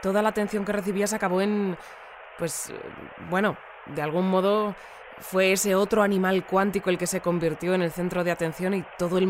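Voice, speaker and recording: A woman's voice: fairly low pitch, a little hiss or hum, natural voice. A woman playing a polite, earnest news presenter who interviews and explains wordily in an audio drama. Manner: courteous, wordy, explanatory.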